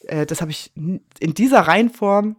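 A woman's voice: on the low side, moderate pace, dry recording. Enthusiastic woman in a dry recording. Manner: enthusiastic, colloquial. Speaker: radio drama director, interview guest. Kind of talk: casual interview conversation.

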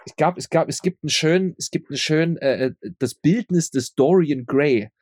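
A man's voice: on the high side, brisk, darkish tone, breathy edge, no room sound, clean studio sound. A man being interviewed as a radio play maker, chatting casually and often repeating words for emphasis.

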